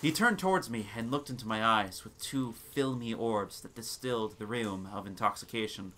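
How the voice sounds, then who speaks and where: A man giving a dramatic scripted narration of a gothic tale, with theatrical, deliberate phrasing. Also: lowish voice, sharp tone.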